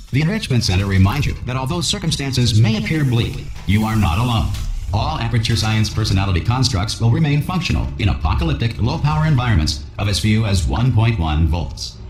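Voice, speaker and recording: An actor playing a pompous, blithely reassuring corporate announcer delivering formal pre-recorded safety messages in a science-fiction video game. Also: male voice, lowish voice, reverberant. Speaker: test facility announcer. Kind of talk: scripted public-address announcement.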